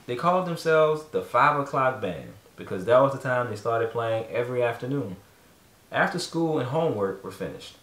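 A man's voice: mid-range voice, animated, normal speed, a bit bright. A man in his thirties, a father casually reading a storybook aloud to his children at home. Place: carpeted room in a home, indoors. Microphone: distant camera microphone. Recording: roomy echo from a distant camera.

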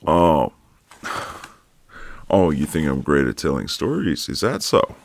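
A man playing a flirtatious, easygoing retired marine neighbour in an intimate audio roleplay, with a deep, gravelly voice and a casual drawl. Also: very animated, takes it slowly, exaggerated voice. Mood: teasing, flirtatious.

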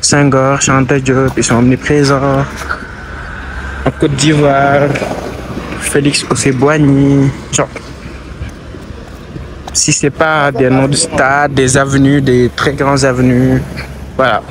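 A man's voice: medium pitch, little pitch movement, slow, long pauses. A young man casually narrating a travel vlog while walking through city streets, thinking aloud with hesitant restarts. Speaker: travel vlogger. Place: busy city streets outdoors. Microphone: handheld camera while walking. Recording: outdoor street noise. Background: street and traffic noise.

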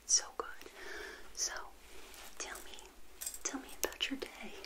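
A middle-aged woman whispering gently and intimately in an ASMR roleplay as a friendly witch hostess, close to the mic. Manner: gentle, unhurried, intimate personal attention. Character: friendly witch hosting a tea party. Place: small indoor set, seated. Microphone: close to the mic, facing the camera.

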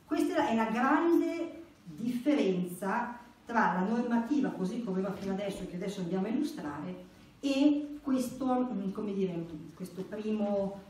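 Echoey, mellow middle-aged woman lecturing. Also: medium pitch, expressive, measured pace. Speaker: conference speaker. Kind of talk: explanatory religious lecture, partly read from notes. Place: indoor church meeting room. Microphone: stand microphone. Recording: very echoey room, distant, faint hiss.

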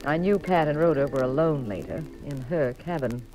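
Actress doing witty detective's wife telling the story, arch old radio narration, music under it. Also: female voice, deep voice, moderate pace, natural voice, low-quality recording. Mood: wry, thoughtful. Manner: wry, arch. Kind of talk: 1950s radio drama narration. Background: music behind the voice.

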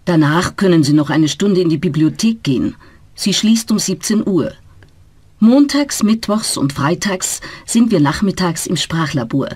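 A woman plays a friendly teacher welcoming her class in a scripted language-course recording. Her intonation rises and falls in a lively way, the tone is slightly breathy, and the sound is dry and close. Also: on the low side, a little slow, no room sound. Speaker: teacher in a dialogue. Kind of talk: slow scripted learner dialogue.

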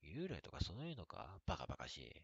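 A man playing a scornful, gravelly-voiced ghost skeptic in a horror story. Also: deep voice, huge pitch swings, fast, lo-fi sound, full character voice.

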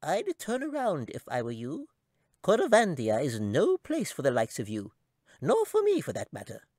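Squeaky, cranky little chipmunk. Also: male voice, wildly swooping pitch, moderate pace, a little mellow, no room sound, studio quality, heavily acted. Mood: sardonic. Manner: scolding, gloomy warnings. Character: weary, cranky, fat little male talking chipmunk. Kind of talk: audiobook character voice.

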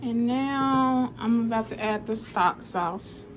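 A woman's voice: fairly high pitch, takes it slowly, a little hiss or hum. A young adult woman talking informally and chattily, as in a casual cooking vlog. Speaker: vlogger.

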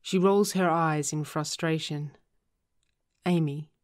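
Casting: female script narrator, deep for a woman, unhurried, measured reading of stage directions. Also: fairly even intonation. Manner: measured, descriptive.

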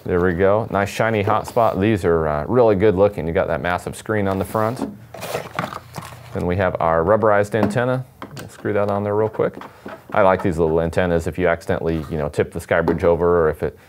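A man's voice: low voice, a little fast, a little hiss or hum. A man, giving a casual unboxing demonstration as a product presenter. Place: indoor studio at a table.

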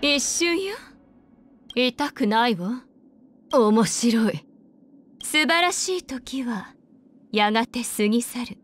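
A woman's voice: fairly high pitch, lively intonation, unhurried, pauses often. An actress playing an elegant, composed female assassin in a video game, poised and coquettish with a cold menace. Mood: cool, menacing. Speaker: game character voice actor. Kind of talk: scripted Japanese video game character voice lines. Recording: clean studio voice over game music.

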